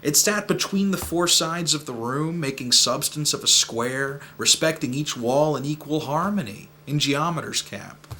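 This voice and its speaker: An aggrieved Shakespearean gentleman, acted by a man, with a high, bright voice. He rambles in florid, plaintive phrases in a comic mock-Elizabethan recitation, with faint noise in the background. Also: moderate pace, hardly any pauses. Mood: wistful.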